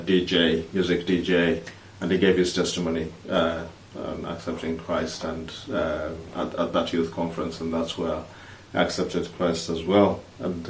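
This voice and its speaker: A man, co-hosting a vlog in halting, casual chat. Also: deep voice. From East Africa, born in Kenya, Ugandan. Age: 30s. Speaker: vlog host. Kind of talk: casual couple chat to camera. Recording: roomy, distant mic, faint noise.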